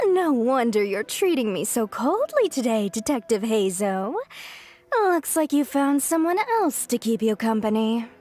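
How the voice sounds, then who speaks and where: High-pitched, coy female swindler. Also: exaggerated voice. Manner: coy, dramatically self-pitying. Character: sly, flirty convicted swindler woman, wheedling and theatrical. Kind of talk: video game voice acting.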